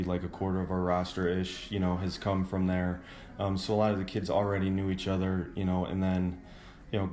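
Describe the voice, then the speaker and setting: Low, flat-voiced man in a roomy space. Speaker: baseball team manager. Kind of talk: casual pregame interview answers. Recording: roomy, echoing sound.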